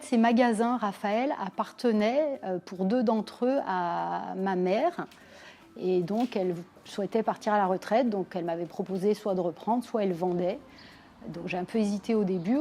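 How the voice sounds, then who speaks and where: A woman in her forties from Lyon, thoughtfully telling her career story in a measured way with frequent pauses. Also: mid-range voice, warm tone. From Lyon, France. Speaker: business owner interviewee. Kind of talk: personal career account to camera.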